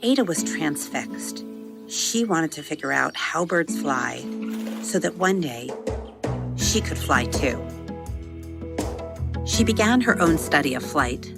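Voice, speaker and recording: A woman reading a scripted children's story as a podcast host, with measured, expressive storytelling. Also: low voice, vocal fry, loud music behind the voice.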